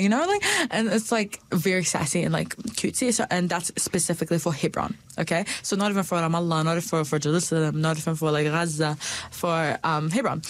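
Chatty young woman, lively pitch. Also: no echo. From Palestine. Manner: chatty, lots of "like" fillers, animated pitch jumps. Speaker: guest. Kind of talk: casual chat.

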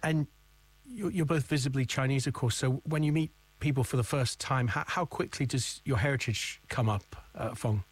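Male news presenter, measured, formal, mid pitch. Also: no room sound. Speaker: radio interviewer. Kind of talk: news interview hosting.

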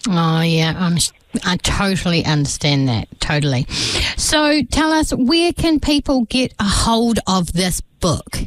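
A woman's voice: on the low side, lively intonation, a little slow. A woman hosting a radio interview in an upbeat, chatty way. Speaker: radio host.

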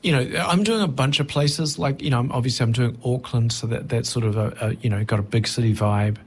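Thoughtful, halting middle-aged man. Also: medium pitch, slightly rough. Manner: thoughtful, halting, often rephrasing mid-sentence. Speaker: touring stand-up comedian, interview guest. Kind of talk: reflective conversational interview.

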